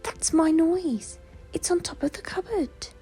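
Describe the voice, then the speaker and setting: A woman voicing a small, curious young boy teddy bear in a high, breathy storybook voice over music. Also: measured pace, warm tone. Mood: excited.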